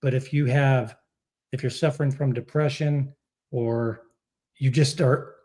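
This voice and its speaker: A man hosting a casual interview, talking in a halting way and rephrasing in mid-thought. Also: mid-range voice, steady tone, dark tone. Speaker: interview host. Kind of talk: casual chat.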